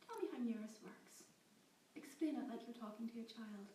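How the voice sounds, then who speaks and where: Woman voicing a soft-spoken patient captor. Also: a little high, normal speed, lightly acted. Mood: gentle. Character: calm, gentle woman captor with patient kindness. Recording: roomy, distant, faint background hiss.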